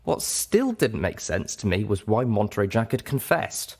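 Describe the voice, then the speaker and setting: A man's voice: on the low side, very animated, normal speed, a little mellow, slightly rough. A man reading scripted first-person fiction aloud as an audiobook narrator.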